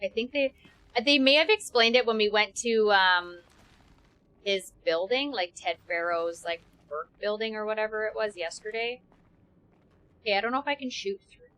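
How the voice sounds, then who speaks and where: A woman's voice: mid-range voice, moderate pace, a bit bright, poor audio. A woman game streamer giving casual playthrough commentary, muttering reactions to herself as she plays.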